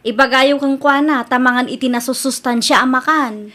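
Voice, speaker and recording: A woman's voice: high-pitched, steady tone, normal speed, fairly bright tone. A woman with an Ilocano Filipino accent playing a polite, bubbly young niece in a radio drama. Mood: polite, cheerful. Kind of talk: radio drama acting and show hosting.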